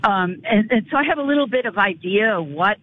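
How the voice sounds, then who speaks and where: An older woman from north of Chicago calling into a radio show, talking in a halting way and repeating words. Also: mid-range voice, animated. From northern Illinois. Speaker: caller. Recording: phone line with faint noise.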